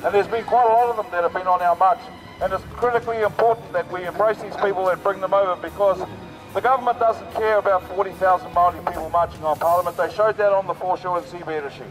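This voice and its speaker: Hoarse, rousing New male rally speaker, outdoors on a megaphone. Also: high voice, fairly even intonation, quick pace, soft background music, low-quality recording. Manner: rousing, repetitive, with fillers. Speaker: protest march speaker. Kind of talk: outdoor rally speech through a loudspeaker. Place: outdoors on a city street.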